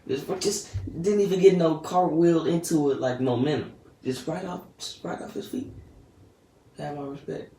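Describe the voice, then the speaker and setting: A teenage boy casually telling a story about his day in slangy, laid-back talk that often trails off soft and quiet. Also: on the high side, normal speed, far from the mic. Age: teens. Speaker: vlogger. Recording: echoey room, a bit off-mic, faint noise.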